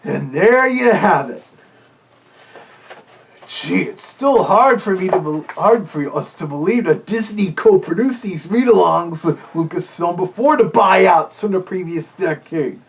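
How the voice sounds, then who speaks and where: A man voicing a genial wolf reviewer with a gravelly voice, giving casual commentary. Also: sits high, expressive, measured pace, exaggerated voice. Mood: amused, chatty. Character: Big Bad Wolf as genial, chatty reviewer.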